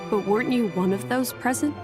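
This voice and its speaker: An actress playing a young, earnest heroine in a video game, restrained and directly questioning. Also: female voice, fairly high pitch, brisk, natural voice. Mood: searching, sceptical. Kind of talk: dramatic video game voice acting. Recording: orchestral music behind.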